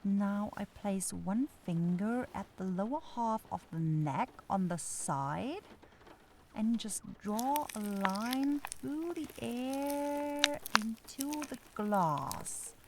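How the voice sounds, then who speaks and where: A woman's voice: mid-range voice, animated, slow pace, darkish tone, a little hiss or hum. A woman playing a flustered, scatterbrained but caring young forest witch who dithers and searches for words in a fantasy roleplay audio drama. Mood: pleased, explaining.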